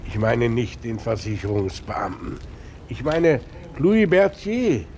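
A mellow, dark-toned police commissioner questions in short, probing phrases. It is 1950s radio crime drama, on an old recording with faint noise. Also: male voice, medium pitch, sing-song, slow. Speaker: actor in a radio play.

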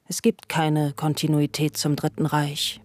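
Female narrator, measured and factual documentary-style reading, close dry studio sound. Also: low-pitched, monotone, darkish tone, no echo.

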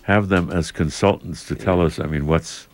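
A man from Germany co-hosting a casual radio chat, halting and repeating words, laughing easily. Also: low voice, a little mellow. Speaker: radio co-host.

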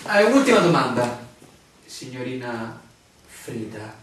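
An actor playing a newly arrived land surveyor, formal and insistently questioning, in a stage-style radio drama. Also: male voice, medium pitch, big pitch swings, unhurried, a bit bright. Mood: intense, seductive. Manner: formal, questioning, hesitant asides. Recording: echoey room, distant mic, faint noise, clicks.

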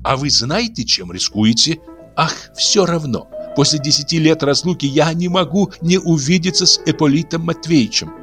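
A man's voice: on the high side, animated, moderate pace, fairly bright tone, soft background music, pitched above the performer's own voice. A man doing a fluttery anxious fortune-teller woman. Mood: pleading. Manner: fluttery, pleading.

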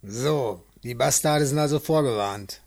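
An actor playing a cocky, hot-tempered special agent who wisecracks and threatens in an amateur pulp audio drama. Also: male voice, mid-range voice, unhurried, gravelly, a little mellow. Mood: muttering, sly.

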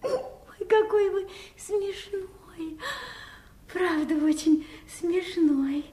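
A very high, girlish voice in a roomy acoustic a gentle young fairy-tale princess. She is artless and unsure of herself, speaking in breathy exclamations with theatrical radio-play innocence. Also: very slow, full character voice. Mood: amused. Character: gentle naive young princess, tearful, self-doubting, easily delighted.

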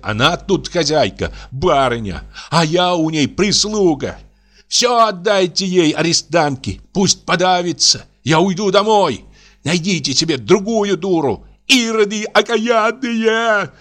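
A furious young woman screaming through tears, her voice pitched high and thin above the reader's own, as a male reader puts her on. She hurls accusations in a bitter, sobbing shout. This is an audiobook. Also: measured pace.

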